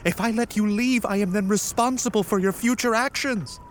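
A man's voice: sits high, moderate pace, slight background noise, lightly acted. A man playing a resolute, wary ship captain in a radio play, with a commanding, dramatic delivery. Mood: stern, conflicted.

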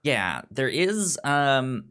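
A man co-hosting a casual chat show about drama series, speaking haltingly and often stammering over repeated words. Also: mid-range voice, very animated, pushed and bright. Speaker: podcast co-host.

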